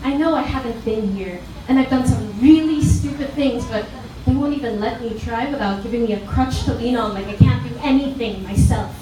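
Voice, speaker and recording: A woman's voice: fairly high pitch, brisk, hardly any pauses, dark tone, natural voice. A woman playing a fiery, scornful young princess in a dramatic book reading, indignant and sarcastic. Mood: defiant, exasperated. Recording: echoey room, distant mic, faint noise.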